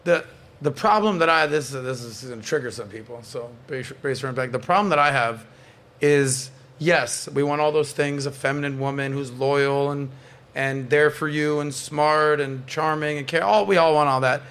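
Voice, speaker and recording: A man's voice: on the high side, normal speed. A man with a Canadian accent hosting a casual podcast panel, telling stories with playful teasing and frequent swearing. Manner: animated storytelling, swears freely, playful teasing. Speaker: podcast host.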